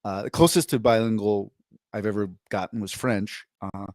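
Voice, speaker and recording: A man's voice: lowish voice, steady tone, normal speed, darkish tone, dry recording. A man co-hosting a podcast, chatting casually and reading posts aloud, rambling and correcting himself as he goes. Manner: rambling and self-correcting, upbeat. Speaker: podcast co-host.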